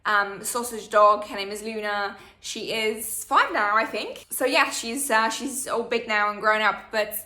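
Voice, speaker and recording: A woman's voice: mid-range voice, a little slow, few pauses, pushed and bright, roomy sound. A woman, chatting through a Q&A for her channel with plenty of fillers. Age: 20s. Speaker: vlogger. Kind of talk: casual Q&A chat.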